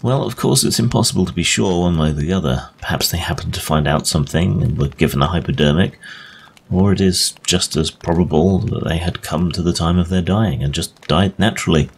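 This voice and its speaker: A man reads the part of a genial gentleman ghost hunter with an extremely deep voice. He explains his case conversationally, in old-fashioned storybook phrasing, over clear recording noise. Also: brisk. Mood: explaining.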